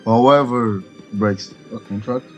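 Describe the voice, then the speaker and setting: A man's voice: medium pitch, slow pace. A man casually reacting as he plays through a video game, dropping short playful mock-solemn remarks. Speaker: let's play gamer. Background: video game music and dialogue.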